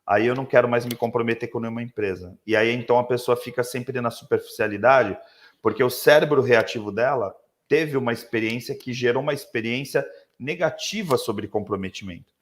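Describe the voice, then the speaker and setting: Hoarse Brazilian man, reflective live-stream speaker on a computer mic. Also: mid-range voice, brisk. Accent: Brazilian Portuguese. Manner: reflective, lots of tag questions. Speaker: leadership speaker. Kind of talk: live webinar talk.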